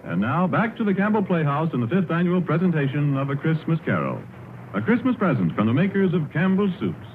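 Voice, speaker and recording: A man making formal radio announcements in a crisp, polished manner. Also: on the high side, very animated, fairly quick. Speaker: network announcer. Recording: tinny 1930s radio broadcast with music.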